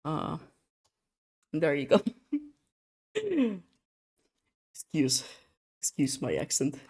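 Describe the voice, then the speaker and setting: A young adult streamer chats loosely on a streaming mic while drawing commissions. The voice sits at a middle pitch and sounds tired, with words slurring a little. The talk rambles and turns self-mocking, broken by frequent laughs. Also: wildly swooping pitch, very slow, darkish tone, husky. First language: Russian. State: tired, slightly slurred speech. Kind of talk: casual live-stream chat.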